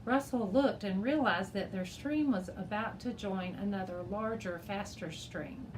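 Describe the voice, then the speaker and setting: Female teacher for an expressive children's chapter-book read, medium pitch, some noise behind. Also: a little slow, rough recording. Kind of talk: expressive storybook read-aloud.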